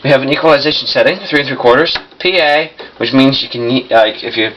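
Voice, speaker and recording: A young man giving a casual hands-on demonstration in a halting, piecemeal way, often correcting himself. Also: medium pitch, a bit bright. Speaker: vintage audio collector demonstrating a machine. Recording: muffled lo-fi camcorder sound, noisy.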